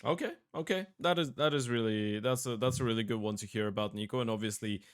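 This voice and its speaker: A man with a smooth, clear voice hosts an interview about competitive gaming. He asks long, winding questions and restarts his phrases often as he goes. His pitch sits in a middle range. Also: quick pace. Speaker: podcast host, interviewer.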